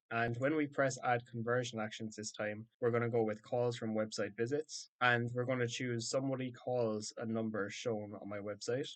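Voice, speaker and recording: A man narrating a step-by-step software tutorial in a matter-of-fact, instructional way. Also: medium pitch. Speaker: tutorial presenter.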